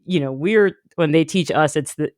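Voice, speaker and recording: A woman hosting a podcast, talking in a relaxed, rambling way with lots of fillers. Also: sits low, moderate pace, no echo, clean studio sound. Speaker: podcast host. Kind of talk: casual interview chat.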